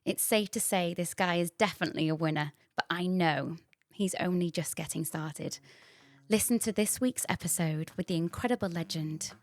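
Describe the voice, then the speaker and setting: A woman's voice: lowish voice, moderate pace, no echo, clean studio sound. A woman hosting a podcast, reading a scripted intro and then chatting casually, bubbly and effusive with frequent laughter. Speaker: podcast host.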